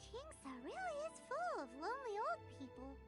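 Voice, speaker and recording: Tiny childlike fairy companion, extremely high, wildly animated, cheeky, excitable, game voice over clear music. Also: female voice, moderate pace, heavily acted. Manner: speaks of herself in the third person, cheeky. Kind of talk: cartoonish video game voice acting.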